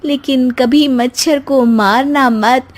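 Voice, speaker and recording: A woman plays a sweetly pious young daughter-in-law from a cartoon comedy. Her voice is high and unhurried. She moralizes with naive earnestness and will not be budged. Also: steady tone. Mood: preachy. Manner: preachy, earnest, sing-song moralizing.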